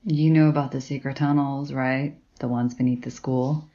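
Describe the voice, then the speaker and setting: A bossy, sardonic female librarian played by a woman with a low voice and gruff, commanding delivery. It is an audio drama with slightly muffled sound. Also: fairly even intonation, moderate pace, warm tone.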